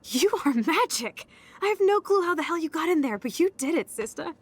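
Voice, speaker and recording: A woman's voice: extremely high pitch, lively intonation, fairly quick. A young American woman playing a brash, rebellious, impulsive punk teenage girl in a video game, cocky and slangy. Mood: thrilled. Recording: music behind the voice.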